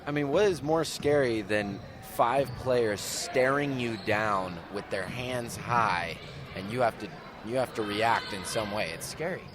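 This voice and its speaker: A man's voice: medium pitch, a little slow. A man giving conversational live analysis as the color commentator at a basketball game. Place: indoor school gymnasium during a game. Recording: noisy gym ambience, bouncing basketballs. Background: game noise, basketball bounces.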